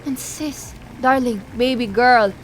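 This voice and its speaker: A woman's voice: a little high, takes it slowly, slight background noise, rough recording. A woman playing a flamboyant, confident and teasing best friend in an audio drama, theatrical. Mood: sassy. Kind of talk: full-cast audio drama acting.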